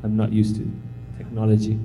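A man's voice: on the low side, completely flat delivery, brisk, dark tone, slight background noise, poor audio. A man in his thirties who grew up in Tanzania, giving an informal, humble sermon as a visiting missionary, encouraging and full of fillers. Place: church sanctuary.

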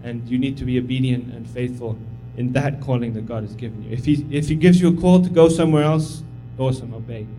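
Casual guest preacher, a man raised in Tanzania, mid-pitched, soft music under him. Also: fast, hardly any pauses, low-quality recording. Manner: humble, casual, encouraging, with many fillers. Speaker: visiting missionary speaker. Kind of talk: informal church sermon. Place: church sanctuary.

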